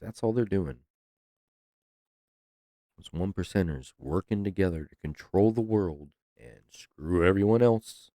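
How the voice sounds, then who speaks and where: A man's voice: sits low, expressive, a little slow, pauses often, mellow, no room sound. A man hosting a reaction video, talking casually with loose swearing and laughs. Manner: laid-back, swears freely, laughs.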